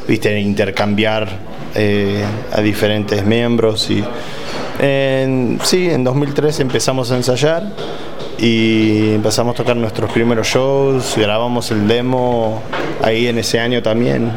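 A man's voice: mid-range voice, level tone, takes it slowly. A man from Argentina with an Argentine accent blended with California influences, a band vocalist answering interview questions hesitantly with many fillers. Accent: Argentine Spanish mixed with Californian influences. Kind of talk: casual interview. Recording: noisy crowd in the background.

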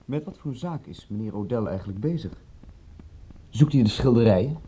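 An actor in a radio drama playing a shady, probing gentleman who is formal, courteous and insinuating. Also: fairly low pitch, animated, normal speed, husky. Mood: probing, casual. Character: smooth-mannered, probing, shady gentleman with information to sell. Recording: muffled, dull old radio recording.

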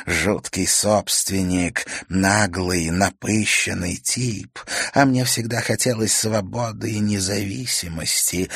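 A man voicing a scheming witch stepmother who speaks hoarsely, slowly and with cold sweetness. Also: sits low, fairly bright tone, no echo, clean studio sound, exaggerated voice. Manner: slow, gloating, coldly sweet.

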